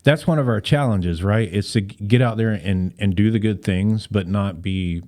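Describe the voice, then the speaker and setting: A man's voice: on the low side, moderate pace, mellow. A man speaking as a podcast guest and club volunteer, in plain, casual interview talk with a promotional bent.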